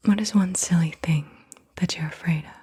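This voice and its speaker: A woman softly asking questions in an ASMR style, in a breathy whisper with gentle, unhurried delivery. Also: fairly low pitch. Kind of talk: soft-spoken ASMR interview questions. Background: computer keyboard typing.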